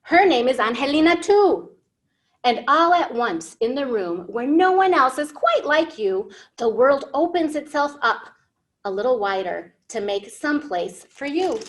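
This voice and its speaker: A woman's voice: high voice, sing-song, unhurried. A woman in her thirties reading a picture book to young learners as a storytime host, expressively, with simple questions and explanations. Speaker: children's librarian hosting storytime. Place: indoor library room.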